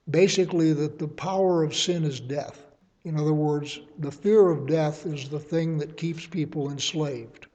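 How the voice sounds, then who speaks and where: A man teaching a verse-by-verse Bible study, reasoning aloud in a measured way and offering his readings as suggestions. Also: on the high side, mellow, long reverb tail, highs cut off.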